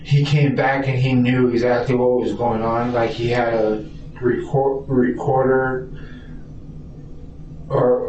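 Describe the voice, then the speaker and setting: A man's voice: medium pitch, darkish tone. A hesitant American man who stammers and repeats words while answering questions in a police interview. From Arizona, United States. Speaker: suspect being questioned by police. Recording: distant roomy mic, dull audio, faint noise.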